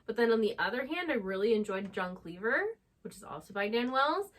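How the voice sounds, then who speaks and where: A woman's voice: mid-range voice, lively intonation, fairly quick, fairly bright tone. A woman co-hosting a book channel, talking in a casual, unscripted conversational style.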